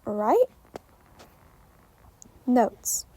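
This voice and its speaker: A woman reading fan fiction aloud as an expressive story narrator. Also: on the high side, very slow, husky.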